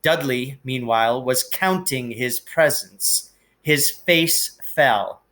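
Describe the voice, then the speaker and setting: Slow, deliberate man reading a storybook. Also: mid-range voice, a bit bright. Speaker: teacher reading aloud. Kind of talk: expressive storybook narration.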